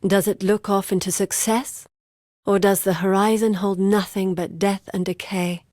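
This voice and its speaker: An actress playing a haunted, determined young woman researcher in a video game, speaking earnestly and solemnly in a measured, dramatic way. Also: mid-range voice, flat delivery, a little mellow, no echo, clean studio sound, close to an everyday voice. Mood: grave, resolute.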